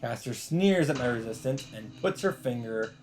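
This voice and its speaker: Male let's play host, mid-pitched, reading story narration with short asides, game music behind him. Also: animated, normal speed. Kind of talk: reading visual novel narration aloud with brief asides.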